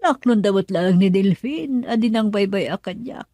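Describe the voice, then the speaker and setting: A woman's voice: mid-range voice, moderate pace, dark tone, no room sound, natural voice. A woman acting a sorrowful young mother recalling a troubled love in a radio drama. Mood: anguished.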